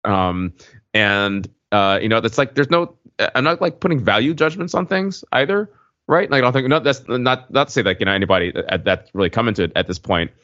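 A man co-hosting a podcast in casual conversation, halting and circling his point with lots of "like" and "you know". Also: mid-range voice, expressive, a bit bright, no echo. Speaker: podcast co-host. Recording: muffled, lo-fi voice recording.

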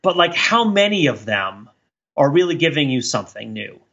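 A man co-hosting a podcast in casual, reflective discussion, thinking aloud in a halting, rambling way with repeated words. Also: fairly high pitch, sing-song, vocal fry, a bit bright, highs cut off. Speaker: podcast co-host.

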